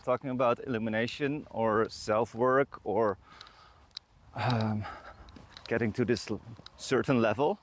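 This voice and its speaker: A man's voice: medium pitch, fairly even intonation, unhurried. A man hosting a casual interview while walking outdoors, putting his questions in a searching, conversational way. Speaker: podcast host interviewing. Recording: outdoor walk with clear background noise.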